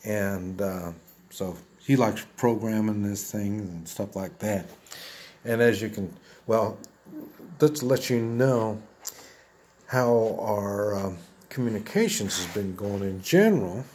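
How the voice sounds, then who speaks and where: A man in his seventies talking casually and plainly to viewers as he shows gear, halting and rambling, often repeating himself. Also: lowish voice, a little mellow. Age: 70s. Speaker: video host.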